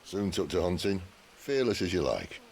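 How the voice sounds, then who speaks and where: A wry gravelly outlaw rogue. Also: male voice, deep voice, very animated, normal speed, darkish tone, a little hiss or hum, heavily acted. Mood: dry teasing. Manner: dry teasing banter. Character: wry roguish male outlaw assassin, middle-aged. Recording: game cutscene audio with music.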